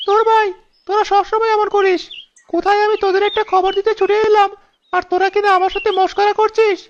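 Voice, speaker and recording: A tiny cartoon bird with an extremely high, squeaky voice. It sounds huffy and excitable on one flat pitch. The audio is muffled, with chirping around it. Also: male voice, fast, a little hiss or hum. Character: small talking bird, huffy, excitable messenger. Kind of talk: Bengali cartoon voice acting.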